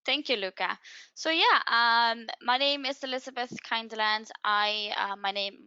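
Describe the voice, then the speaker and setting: A woman with a Swedish accent co-hosts a webinar, talking conversationally with many ums. Her voice is bright and crisp. The sound is dry, close and muffled. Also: on the high side, moderate pace, dry recording. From Sweden. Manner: friendly, conversational with frequent ums. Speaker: career advisor and co-host. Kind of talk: webinar presentation.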